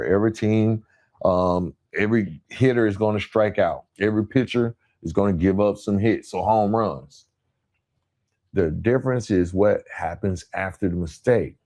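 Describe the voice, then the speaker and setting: A man's voice: fairly low pitch, a little mellow. A man hosting a motivational podcast, speaking in an earnest, emphatic monologue built on parallel phrases. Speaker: podcast host.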